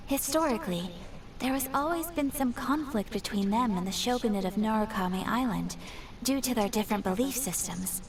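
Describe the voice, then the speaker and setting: An actress playing a courteous, grave young noblewoman in a fantasy video game, speaking formally with measured, precise diction. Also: mid-range voice, a little mellow, distant mic, a little hiss or hum, close to an everyday voice. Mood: composed, courteous. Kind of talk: scripted fantasy game voice acting.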